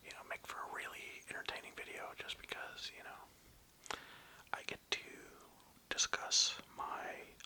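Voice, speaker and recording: Hushed, breathy ASMR whisperer. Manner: rambling, casual, hesitant. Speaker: video host presenting a toy collection.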